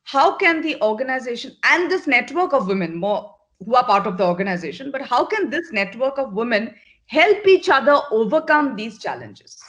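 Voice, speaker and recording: A woman's voice: a little high, sing-song, normal speed, fairly bright tone. A middle-aged woman from Northeast India, a managing partner at an agency, answering interview questions in an earnest, direct way, often repeating words for emphasis.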